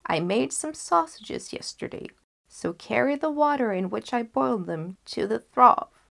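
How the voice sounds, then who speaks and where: A woman as a high-voiced, shrewd old grandmother. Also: lively intonation, a little slow, gravelly, fairly bright tone, exaggerated voice. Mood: calmly instructing. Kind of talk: storybook character reading.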